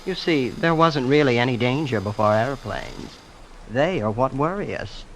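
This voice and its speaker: An actor playing a genteel, blandly reasonable rich heir in old radio drama style, smooth and theatrical. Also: male voice, medium pitch, lively intonation, moderate pace, natural voice. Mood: placating. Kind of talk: 1940s radio drama acting. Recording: noisy old radio broadcast.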